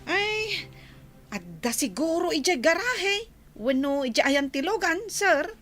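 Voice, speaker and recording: A woman's voice: very high-pitched, sing-song, slow, a bit bright, soft background music, full character voice. A woman acting a timid, flustered housemaid in a radio drama, with an Ilocano Filipino accent. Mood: hesitant. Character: flustered, timid household maid serving a bossy master.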